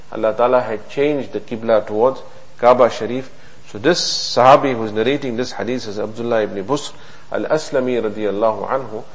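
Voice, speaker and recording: A man giving a hadith lesson in an earnest, repetitive preaching manner, asking rhetorical questions and reciting Arabic quickly. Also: fairly low pitch, rough recording. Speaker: religious teacher.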